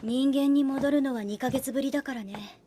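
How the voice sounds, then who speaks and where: An actress playing a shrewd, languid young woman soldier in anime, calm and teasing, with a soft, unhurried, analytical way of speaking. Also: sits high, a little hiss or hum, close to an everyday voice. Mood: gentle teasing. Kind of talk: Japanese anime voice acting. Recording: dialogue over background music.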